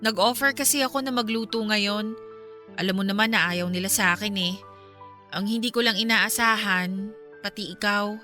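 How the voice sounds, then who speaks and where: Actress as bright-voiced, plaintive young Filipina wife. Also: female voice, medium pitch, normal speed, close to an everyday voice. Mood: hurt, reproachful. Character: hurt, neglected young wife and mother confronting husband. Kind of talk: Filipino radio drama acting. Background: music.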